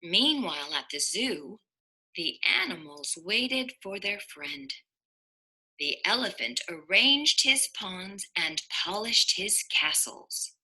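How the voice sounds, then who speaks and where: A woman teacher reads a children's story in a very bright voice with a husky edge. Her pitch moves in lively swings, and she takes her time, leaning on key words for young listeners. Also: rough recording. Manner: animated, deliberate, with dramatic emphasis. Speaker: kindergarten teacher reading aloud. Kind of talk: expressive picture-book read-aloud for young children.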